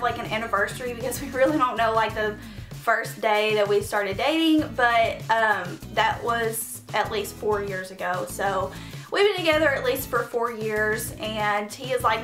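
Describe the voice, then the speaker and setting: A woman's voice: on the high side, roomy sound. A woman in her twenties from Tennessee with a Southern accent, chatting casually through a Q&A vlog, earnest and full of "um" and "like". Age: 20s. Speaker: beauty vlogger.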